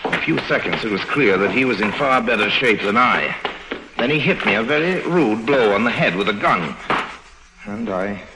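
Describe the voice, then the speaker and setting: A male actor as a charming rogue of old-time radio adventure. His voice is very low. He tells his tale in a wry, understated way, as if confiding in the listener. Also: animated, normal speed, very noisy, natural voice. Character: roguish adventurer narrating his own escapade, wry and charming. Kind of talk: old-time radio drama acting and narration.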